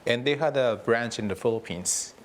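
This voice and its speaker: A man's voice: mid-range voice, normal speed, slight background noise. A man from Japan with a Japanese accent, a company chief answering interview questions in informal, loosely phrased conversational English. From Tokyo, Japan. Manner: informal, loosely phrased with repeated "actually" and "you know". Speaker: company founder and CEO being interviewed.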